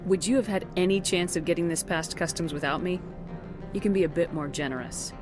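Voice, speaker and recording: A woman's voice: lowish voice, a little fast, music in the background, natural voice. A woman playing a confident military space commander in a video game, direct and no-nonsense in manner. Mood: firm, businesslike.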